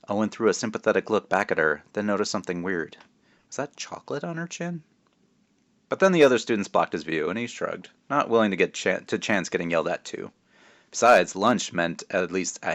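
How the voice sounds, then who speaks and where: A man's voice: deep voice, a bit bright. A man speaking as a children's author, informally reading a storybook aloud with modest asides. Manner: self-deprecating, informal.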